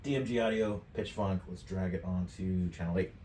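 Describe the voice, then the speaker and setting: A middle-aged man with a low voice talks through a software tutorial in a casual way. He sounds a little distant from the microphone, in an echoey indoor room. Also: steady tone, moderate pace. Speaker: tutorial presenter. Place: indoor home studio. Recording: roomy, distant mic, faint noise.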